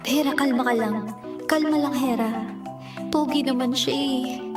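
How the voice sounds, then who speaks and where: A woman playing a giddy, chatty young job applicant in a romance audio drama, expressive and gushing, with a Filipino accent. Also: high-pitched, a little slow, over music. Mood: exasperated.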